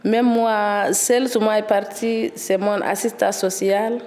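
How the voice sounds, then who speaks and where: A woman's voice: mid-range voice, fairly even intonation, slow, a little mellow, big-room sound. A woman learning French, speaking in short, simple sentences in a testimonial. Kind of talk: spoken testimonial.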